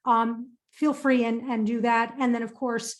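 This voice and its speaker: A woman's voice: a little high, level tone, measured pace. A woman presenting a career webinar and answering audience questions in an upbeat, emphatic way, often repeating phrases for stress.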